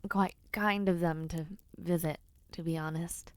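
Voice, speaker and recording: A woman's voice: lowish voice, fairly even intonation, measured pace, clean tone, dry recording, close to an everyday voice. A young woman voicing a spirited, playful noblewoman knight in an audio roleplay, chatty and teasing. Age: young adult.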